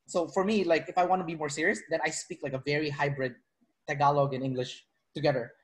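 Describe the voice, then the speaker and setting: A man's voice: a little high, fairly even intonation, quick pace. A man from the Philippines hosting a casual interview, talking in loose, rambling sentences full of fillers and restarts. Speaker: interviewer, personality trainer. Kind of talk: casual video-call interview.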